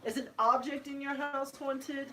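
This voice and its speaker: A woman's voice: high-pitched, steady tone, moderate pace, a bit bright, recorded from a distance, slight background noise. A woman from New York with a New York accent, co-hosting a casual livestream and chattily explaining things. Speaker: co-host.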